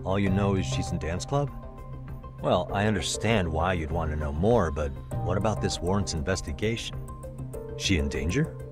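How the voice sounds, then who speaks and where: A man's voice: low-pitched, moderate pace, close to an everyday voice. An actor playing an easygoing adult male private detective in a video game dub, casual and dryly understated. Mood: concerned. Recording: music under the dialogue. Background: music.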